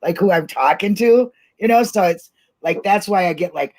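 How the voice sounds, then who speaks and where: A woman's voice: on the low side, lively intonation, normal speed, a little mellow. A woman hosting an informal online talk, speaking casually in a blunt, emotional way. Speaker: host of an online livestream show.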